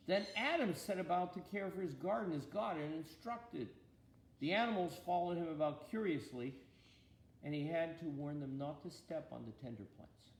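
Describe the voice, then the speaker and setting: A man reads a storybook aloud with a gravelly edge and very animated intonation. He paces it with deliberate pauses and slips in gentle asides to his listener. The room rings around him, with a faint layer of noise. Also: on the high side. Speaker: bishop reading aloud. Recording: roomy echo, faint background noise.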